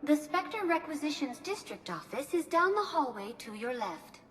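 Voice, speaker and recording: High-pitched courteous virtual embassy assistant. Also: female voice, sing-song, moderate pace, fairly bright tone. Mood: courteous, formal. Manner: formal, courteous, precisely scripted. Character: polite female virtual assistant at an embassy, helpful and formal. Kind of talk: scripted information-desk announcements in a sci-fi game.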